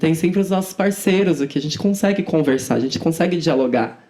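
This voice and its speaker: Young woman, somewhat low-pitched informal speaker. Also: a little fast, warm tone. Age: 20s. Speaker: podcast guest, student activist. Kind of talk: conversational interview answers.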